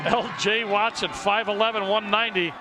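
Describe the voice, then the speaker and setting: A man's voice: high voice, flat delivery, moderate pace, a loud crowd. A man giving live football color commentary in short, exclamatory phrases as he breaks down the play.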